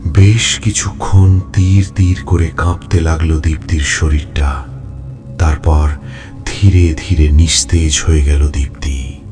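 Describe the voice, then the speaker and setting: An actor playing a bloodthirsty, coldly gloating vampire in a horror audio story, speaking with slow, savouring menace. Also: male voice, rumbling low, steady tone, music in the background. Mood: sated, menacing. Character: bloodthirsty male vampire, coldly savouring his human prey. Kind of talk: dramatic first-person horror audio story narration.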